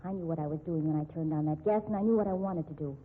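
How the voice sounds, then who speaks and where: A husky female heroine of a 1950s radio mystery, emphatic and theatrical. The sound is thin and muffled, like an old broadcast. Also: low-pitched, very fast. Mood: bitter, defiant. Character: women in a detective story: cajoling girlfriend, bitter rescued patient. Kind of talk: 1950s radio drama acting. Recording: muffled, narrow-band 1950s radio recording.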